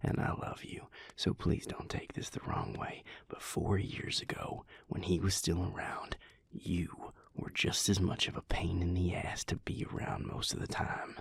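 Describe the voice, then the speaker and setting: A man voicing an easygoing cowboy vampire in an audio roleplay, blunt and steady, talking close to the mic. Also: sits low, unhurried, creaky, hardly any pauses, slightly rough, in a whisper, full character voice. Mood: frank. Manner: laid-back, frank, calming.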